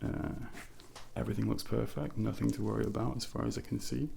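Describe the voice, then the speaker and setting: Soft-spoken, creaky man in ASMR doctor role play. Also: on the low side, steady tone, a little fast, a little hiss or hum. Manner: gentle, reassuring, murmured instructions, sometimes whispering. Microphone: close-up ASMR microphone.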